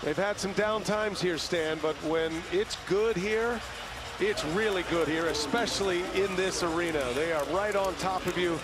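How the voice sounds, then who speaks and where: A man's voice: high-pitched, normal speed, loud music behind the voice. A man doing live play-by-play basketball commentary as a broadcast announcer. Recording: TV game broadcast over loud arena noise. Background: basketball arena noise.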